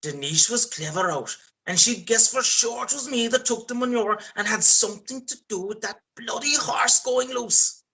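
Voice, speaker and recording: A man playing a scheming, excitable Irish countryman in a radio play, talking in a colloquial, cajoling way. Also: very high-pitched, normal speed, exaggerated voice, poor audio. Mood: excited, confiding. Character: scheming, excitable Irish countryman sneaking about a horse farm. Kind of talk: radio play acting and first-person storytelling.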